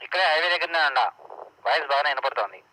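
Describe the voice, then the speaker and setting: A man's voice: fairly high pitch, lively intonation, racing, bright, forceful tone. A man with a Telugu accent chatting casually, heard through a walkie-talkie radio. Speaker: reviewer's younger brother. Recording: crackly walkie-talkie speaker.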